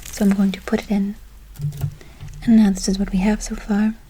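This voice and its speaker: A woman playing a doting, whimsical forest witch in an ASMR roleplay, cooing endearments and gently reassuring her listener. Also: medium pitch, level tone, moderate pace, slight background noise, lightly acted, poor audio. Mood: whimsical, gentle.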